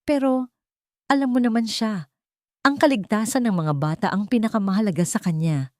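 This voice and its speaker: A woman voicing a scheming woman in an audio drama who feigns sweet, gentle sympathy. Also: mid-range voice, normal speed, no echo, studio quality, voice pushed up, natural voice. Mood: fake sympathy. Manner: sugary, falsely sympathetic.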